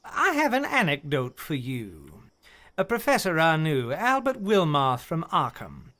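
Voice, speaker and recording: A formal gentleman chairing a hearing in a radio play. His voice sits fairly high and swings widely in pitch, courteous and articulate, with an exasperated edge. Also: huge pitch swings, unhurried, exaggerated voice. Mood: earnest, storytelling.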